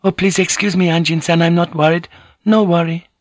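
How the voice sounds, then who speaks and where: Man voicing a high, polite woman. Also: fairly even intonation, a little fast, no echo, clean studio sound, natural voice. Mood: polite, reassuring. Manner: polite, apologetic, careful foreign-sounding English. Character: gentle, deferential Japanese woman, earnest and coaxing. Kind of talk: audiobook character voice.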